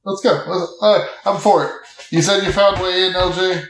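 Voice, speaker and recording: A man with a slightly high voice speaks in character at a tabletop game. His lines are terse and emphatic, and the recording is distinctly echoey. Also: fairly even intonation, normal speed, fairly bright tone. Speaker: player in an actual play podcast. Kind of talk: in-character tabletop role-play dialogue. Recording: echoey, roomy sound.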